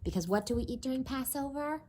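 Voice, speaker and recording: A woman with a husky voice reading a children's story aloud expressively, pausing to explain and ask questions. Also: sits high. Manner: expressive, explains and asks questions to young listeners. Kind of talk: animated read-aloud of a children's story with asides.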